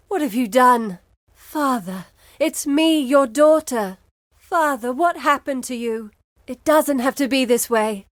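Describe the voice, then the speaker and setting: A woman's voice: sits high, dry recording, studio quality. An actress playing an earnest, determined woman guide in a video game, with a grave, articulate delivery. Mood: anguished, pleading. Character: earnest, determined woman guide searching for her lost father. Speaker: game character voice. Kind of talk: dramatic video game voice acting.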